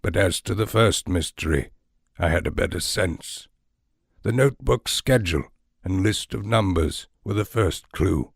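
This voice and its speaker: A man narrating scripted first-person literary fiction in a measured, deliberate, formal way. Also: sits low, creaky, breathy edge, no room sound, clean studio sound. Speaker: storyteller narrator of an audio fiction series.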